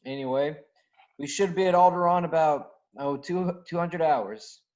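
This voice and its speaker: A man's voice: on the high side, moderate pace, a little mellow, highs cut off, natural voice. A man playing a cocky, wry space smuggler pilot, brash and sardonic, in a scripted reading. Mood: smug. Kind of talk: scripted table read acting.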